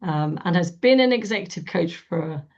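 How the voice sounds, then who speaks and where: A woman with a croaky voice, hosting a coaching webinar in a warm, chatty way with many fillers. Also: lowish voice, expressive, normal speed. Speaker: webinar host. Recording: dull lo-fi webinar audio.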